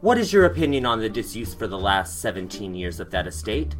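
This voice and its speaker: A man's voice: lowish voice, sing-song, brisk, soft background music. A man playing a flamboyant, theatrical and effusive TV commentary host in an audio drama. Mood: probing, polished. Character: flamboyant, gushing gossipy TV commentary show host. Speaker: talk show host. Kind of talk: acted talk show hosting in audio drama.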